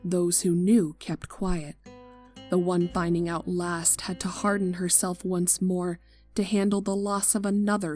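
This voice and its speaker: A woman reads a tragic story aloud with a somber, hushed delivery in a fairly low voice. Her lines often fade into near-whispers. Soft guitar plays faintly behind her. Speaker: fanfic reader. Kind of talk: scripted narration of a tragic story. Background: soft guitar music.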